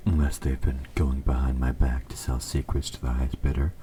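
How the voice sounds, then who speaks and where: Man playing a very deep-voiced, menacing evil king. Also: little pitch movement, slight background noise. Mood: coolly testing. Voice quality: sharp sibilant S sounds. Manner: measured, formal, quietly menacing, regal. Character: evil possessive king, menacing, silkily courteous and controlling. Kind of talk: scripted audio roleplay, intimate dramatic monologue.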